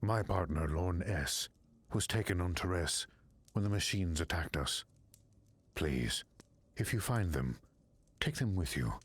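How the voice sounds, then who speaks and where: An actor playing an earnest, devoted alien in an animated video game. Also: male voice, low-pitched, measured pace, long pauses, slightly rough, exaggerated voice. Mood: grave. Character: deep, husky alien, earnest, devoted to missing partner.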